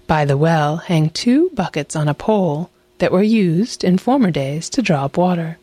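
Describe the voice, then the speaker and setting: A woman voicing a sly, polite mother fox who is thin and hungry, talking smoothly and flatteringly. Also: lowish voice, moderate pace, no echo.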